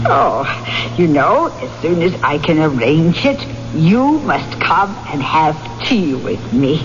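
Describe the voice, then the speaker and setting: An actress playing a frail, trusting old widow in a 1970s radio drama, halting and chatty. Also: female voice, deep voice, very animated, heavily acted. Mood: fond, shy. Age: older. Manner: halting, fretful, sweetly grateful. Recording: muffled, noisy old radio recording.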